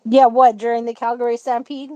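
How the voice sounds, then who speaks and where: Chatty young woman, slightly high voice. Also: little pitch movement, brisk, dark tone, no room sound, lo-fi sound. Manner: chatty, eager, laughs often. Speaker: livestream co-host. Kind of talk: casual live chat.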